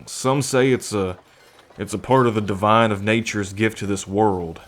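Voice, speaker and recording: A man's voice: fairly low pitch, fairly even intonation, normal speed, a bit bright, close to an everyday voice. A man playing a friendly, earnest half-bull man in an ASMR roleplay, talking in a chatty, folksy way. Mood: warm, folksy. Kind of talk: ASMR roleplay, acted speech to the listener.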